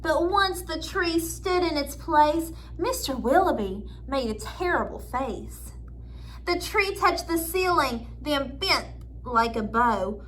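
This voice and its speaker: Bright, high-voiced woman reading to children. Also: slow pace, a little hiss or hum, poor audio. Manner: expressive, explains the pictures in simple asides. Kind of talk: children's picture book read-aloud with asides to listeners.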